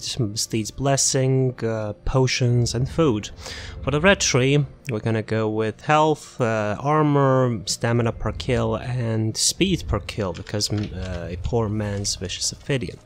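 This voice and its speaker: A man's voice: medium pitch, slight background noise. A man giving casual, unscripted video game guide commentary, rambling and thinking aloud as he goes.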